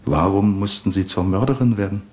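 An actor playing a shrewd, calm male police commissioner in a 1970s radio drama, with a Swiss German accent, speaking in a measured, courteous, probing way. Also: deep voice, echoey room, natural voice. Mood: accusing.

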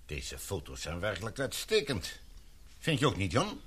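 A man acting in a radio drama, playing a commanding expedition leader with a measured, questioning manner. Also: lowish voice, wildly swooping pitch, fairly bright tone, breathy edge, full character voice. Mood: appraising, probing.

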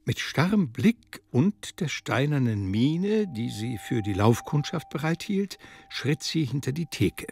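A man's voice: mid-range voice, very animated, unhurried, vocal fry, darkish tone, breathy edge. A man narrating a literary radio drama in a scripted, storytelling style. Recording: music under the narration.

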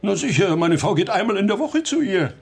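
Ein Schauspieler spielt in einem deutschen Hörspiel einen jovialen, verschmitzten Barbesitzer mittleren Alters, der umgangssprachlich poltert. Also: male voice, high voice, big pitch swings, a little fast, vocal fry, husky, full character voice. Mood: scornful. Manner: chuckling, colloquial, blustery. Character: jovial, cagey bar owner with contempt for swindlers. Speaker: restaurant owner in a radio drama. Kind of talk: German radio play acting.

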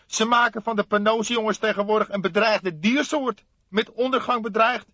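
A man voicing a scrawny, excitable café owner from the underworld in an audiobook, talking emphatic street slang. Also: high-pitched, normal speed, dry recording, pitched above the performer's own voice. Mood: indignant. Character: scrawny indignant small-time underworld café owner, male. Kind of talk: audiobook character acting. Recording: slightly dull, dry studio recording.

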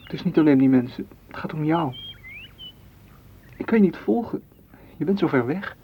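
A man's voice: on the high side, lively intonation, moderate pace. A man playing a frustrated, hurt lover, intense and reproachful, in a radio play. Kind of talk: Dutch radio play acting. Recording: dull, faintly noisy old radio recording.

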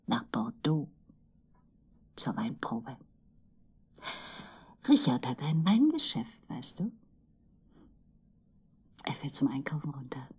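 Giddy lovestruck lonely lady, radio play, super breathy n whispery, voice swings around a lot, music behind. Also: a bass voice, exaggerated voice. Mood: excited, confiding. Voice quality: breathy, often dropping to a whisper. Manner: halting, emotional, swinging between murmur and outburst. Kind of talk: intimate radio drama monologue.

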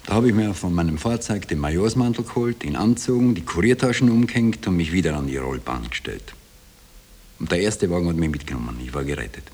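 Low-voiced Austrian ex-soldier confessing. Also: male voice, animated, fast, darkish tone, slightly rough, heavily acted. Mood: earnest recounting. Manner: colloquial dialect storytelling, broken into short phrases. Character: haunted Austrian ex-corporal confessing his wartime imposture. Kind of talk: 1960s radio drama monologue. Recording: hissy old radio tape.

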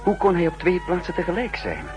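A man's voice: high voice, huge pitch swings, brisk. A man acting an uneasy spy who tells his own story in a 1960s radio drama, musing and hesitant in a dramatic delivery. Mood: grave, confiding. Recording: old 1960s radio recording with music behind.

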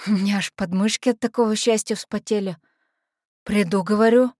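A woman's voice: medium pitch, a little fast, a little mellow, no room sound, lightly acted. Casting: adult woman as tough but naive lovestruck orphan teen girl, first-person dramatic monologue, colloquial street slang, confiding delivery, dry studio sound. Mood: nervous, smitten. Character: brash lovestruck teenage orphanage girl telling her story.

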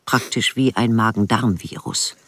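An actress playing a plainspoken, wry old village woman in a radio drama, with a deep, often hushed and breathy voice. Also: a little slow, no echo, exaggerated voice. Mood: wry, matter-of-fact. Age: older. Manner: matter-of-fact, dryly blunt. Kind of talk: radio drama first-person narration and dialogue.

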